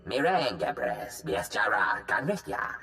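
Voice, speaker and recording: A man voicing a strange, solemn alien captive in a video game, uttering halting, incantatory phrases in an invented tongue. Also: lowish voice, huge pitch swings, vocal fry, bright, forceful tone, a little hiss or hum, heavily acted. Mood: solemn, cryptic.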